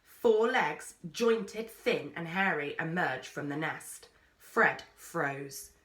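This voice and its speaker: A woman reading a children's story aloud with expressive narration. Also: low voice, slow. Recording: roomy, echoey sound.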